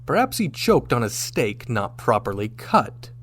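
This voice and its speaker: Man playing a lively, dashing starship captain. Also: mid-range voice, a little slow. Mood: wry, challenging. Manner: commanding, wry. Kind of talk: sci-fi radio drama acting.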